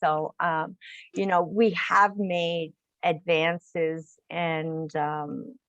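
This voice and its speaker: An older woman hosts a conversational interview at a slow, unhurried pace. Her delivery is halting and her phrases often trail off. The sound is dry, with very little room in it. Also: lowish voice, level tone, fairly bright tone, no room sound, highs cut off. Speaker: host interviewing guests.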